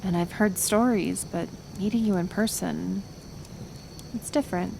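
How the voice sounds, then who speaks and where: A woman playing a kind, stubborn healer of the woods in an intimate roleplay audio, speaking softly and hesitantly, with soothing pauses. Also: medium pitch, gravelly, noisy background. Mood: earnest, confiding. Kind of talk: roleplay audio acting, intimate one-sided dialogue.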